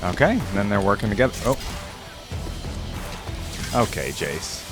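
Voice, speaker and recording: A man's voice: fairly low pitch, big pitch swings, unhurried, music in the background. Casual male reaction host thinking aloud. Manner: conversational, thinking aloud, hedging his opinions.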